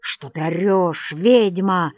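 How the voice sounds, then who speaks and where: A woman's voice: medium pitch, lively intonation, unhurried, dry recording, muffled recording. A woman voicing a coarse, boisterous middle-aged estate manager with hearty guffaws and blustering threats, in an audiobook reading.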